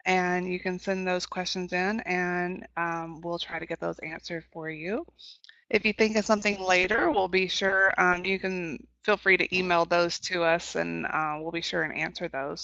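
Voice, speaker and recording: A woman's voice: on the low side, vocal fry, bright, forceful tone, dry recording. A woman hosting an informal webinar with polite customer-service phrasing. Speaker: webinar host. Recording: muffled online webinar audio.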